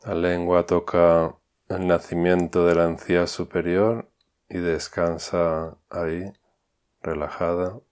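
A man guides a meditation in a calm, unhurried way, leaving long pauses between instructions. His voice sits very low and stays almost flat, with a smooth, resonant tone.

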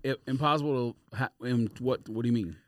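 A man's voice: mid-range voice, quick pace, dry recording, clean studio sound. A man on a podcast panel, debating in a casual, conversational way.

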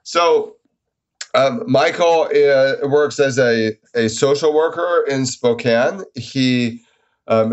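A man's voice: medium pitch, highs cut off. A man hosting a podcast while fighting a cold, friendly and stumbling over his words a little. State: has a cold. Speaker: podcast host.

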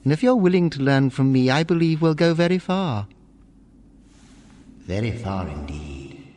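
A man's voice: mid-range voice, big pitch swings, unhurried, darkish tone, a little hiss or hum. An actor playing an ambitious, persuasive young businessman, formal and insinuating, in a radio drama. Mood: calculating, persuasive. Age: young adult. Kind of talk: family radio drama acting.

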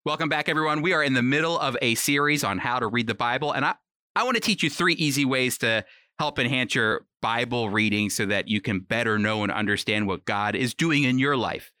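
Bright-voiced man teaching the Bible. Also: medium pitch, a little fast, clear, resonant tone, dry recording, studio quality. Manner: earnest, emphatic, uses rhetorical repetition. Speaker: radio Bible teacher and host. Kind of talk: conversational Bible teaching for radio.

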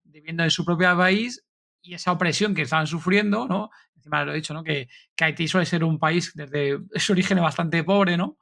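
A man's voice: on the high side, a little fast, gravelly, bright, forceful tone. A man hosting a podcast, summing up his guest's points and often adding a questioning "right?". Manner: summarizes with frequent tag questions. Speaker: podcast host. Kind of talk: podcast conversation.

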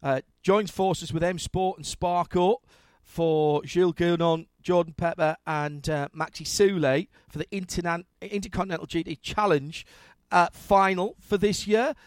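An upbeat, enthusiastic male host with a notably high voice speaks close to the mic in a dry, dead room. His manner is eager and friendly as he puts his questions. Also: fairly even intonation, normal speed, no room sound. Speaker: radio show host. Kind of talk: hosting an interview.

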